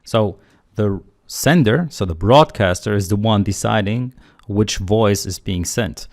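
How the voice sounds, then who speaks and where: A man teaching programming in a screencast tutorial, calmly explaining the code step by step in a relaxed, conversational way. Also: fairly low pitch, measured pace. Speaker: programming instructor.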